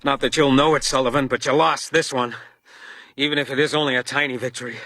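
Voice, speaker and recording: An actor playing a hard-drinking, desperate detective in a radio crime drama, bitter and frantic. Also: male voice, mid-range voice, fairly even intonation, fast, pushed and bright, no echo. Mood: bitter, resigned. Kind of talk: radio crime drama acting with first-person narration.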